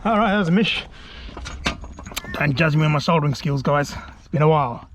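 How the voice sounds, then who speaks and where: A man's voice: on the high side, fairly quick, a bit bright, slight background noise. A man giving a laid-back, self-deprecating hands-on DIY tutorial, chatting colloquially to his viewers. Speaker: vlogger presenting a DIY car install.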